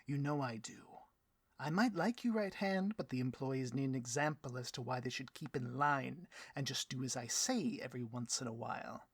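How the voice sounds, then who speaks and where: A man playing a vain, easily riled supervillain boss in a comedic audio roleplay, grandiose and melodramatic, with a husky voice. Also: mid-range voice, normal speed, dry recording. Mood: indignant, threatening. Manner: grandiose, melodramatic, petulant speechifying.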